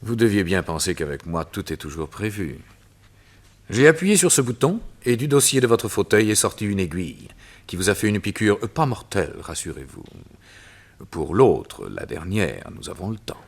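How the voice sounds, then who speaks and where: A smooth, menacing doctor bent on revenge, speaking with a very deep, low voice. He taunts his captive in a calm, cruel way, as in an old radio play. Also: normal speed, heavily acted. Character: cold vengeful doctor villain, mocking. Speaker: radio drama actor. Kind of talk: 1960s French radio drama acting.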